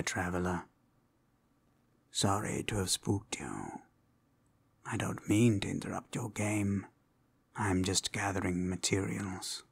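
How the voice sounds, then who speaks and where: Guy as a polite cheeky forest wanderer for asmr rp, low soft husky voice, kinda conspiratorial. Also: heavily acted. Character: cheeky, polite forest traveler gathering materials, offering help. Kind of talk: ASMR roleplay.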